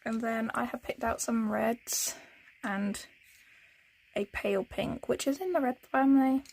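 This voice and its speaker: A soft-spoken woman chatting casually as she colours, musing to herself and often dropping into a whisper. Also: on the high side, measured pace, creaky, low-quality recording. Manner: talks to herself as she works. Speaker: colouring channel host. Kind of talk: casual narration while colouring.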